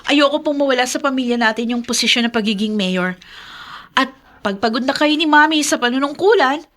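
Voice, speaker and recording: A woman's voice: high-pitched, fairly quick, fairly bright tone. A Filipino woman acting in a radio drama as a bossy, ambitious young mayor's daughter. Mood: eager, determined.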